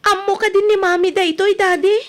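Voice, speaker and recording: A young daughter with a very high, girlish pitch. She speaks with an Ilocano accent and pleads anxiously for her family, in the manner of a radio drama. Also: level tone, fairly quick. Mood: distressed pleading.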